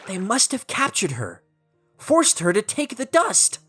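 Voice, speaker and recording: A woman's voice: medium pitch, wildly swooping pitch, a little slow, a bit bright, faint music behind, voice pushed up. A woman voicing an earnest, worried young female fairy in a storybook, with an urgent, pleading delivery. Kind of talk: storybook character voice.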